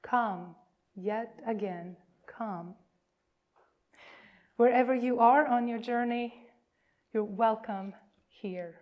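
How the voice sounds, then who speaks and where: A woman minister leading worship, reading reverently in a measured, emphatic way with deliberate pauses. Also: on the high side, muffled recording. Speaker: minister leading a church service.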